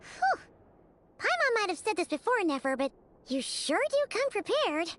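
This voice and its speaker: A woman voicing a tiny, childlike female fairy companion in a video game, excitable, curious and given to exclaiming. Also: very high-pitched, moderate pace, full character voice. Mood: relieved, impressed. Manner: exclamatory, speaks of self in third person.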